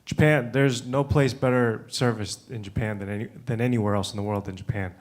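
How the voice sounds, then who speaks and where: A man who grew up in the United States, speaking on a conference panel in a relaxed way with many fillers and restarts. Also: fairly low pitch, brisk. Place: conference room.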